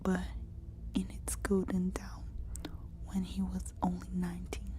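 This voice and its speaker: A woman reading a story aloud as whispered ASMR narration, in short, deliberate phrases. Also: on the low side, monotone, dark tone, a little hiss or hum. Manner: slow, deliberate delivery in short, separated phrases.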